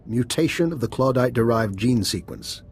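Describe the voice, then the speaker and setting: An actor playing a polite, resourceful scientist in a video game, speaking articulately and courteously. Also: male voice, medium pitch, expressive, moderate pace, a little mellow, husky. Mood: brisk, confident. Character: polite, resourceful doctor scheming with a spy.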